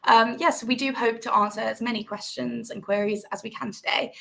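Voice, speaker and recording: A woman's voice: fairly high pitch, fairly even intonation, a little fast, vocal fry, sharp tone. A woman hosting a webinar, giving polite, scripted introductions and instructions. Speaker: webinar host.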